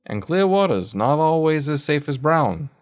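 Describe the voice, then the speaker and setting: A man puts on a cryptic old man of the back roads for an audio drama. He is kindly and folksy, handing out wisdom in an unhurried way. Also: on the high side, very animated, smooth, voice pushed up. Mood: wise, advising. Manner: folksy, unhurried, sage advice. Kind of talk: audio fiction character voice.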